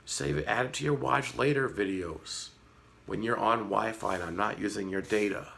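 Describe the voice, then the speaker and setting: A man in his thirties with a slightly hoarse voice, talking frankly and earnestly to his viewers in a casual vlog, with a light sarcastic edge. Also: on the low side, normal speed, sharp tone. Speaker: fish-keeping vlogger. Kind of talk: casual talk to camera.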